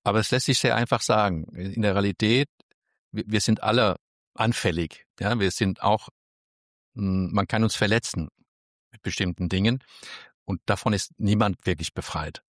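A man's voice: lowish voice, normal speed, a bit bright, no room sound, clean studio sound. A man of Spanish roots answering interview questions thoughtfully, choosing his words carefully with frequent restarts. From Spain. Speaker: interview guest.

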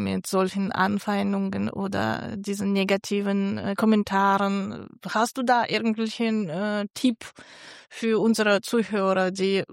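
A woman's voice: medium pitch, vocal fry, no room sound, studio quality. A woman hosting a podcast interview, asking questions in a friendly, encouraging way with some hesitations. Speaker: podcast host.

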